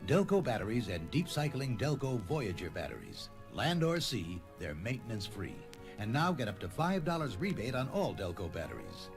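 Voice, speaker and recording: A man's voice: lowish voice, wildly swooping pitch, moderate pace. A man reading a scripted radio commercial voice-over as an announcer. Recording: 1980s radio ad over music.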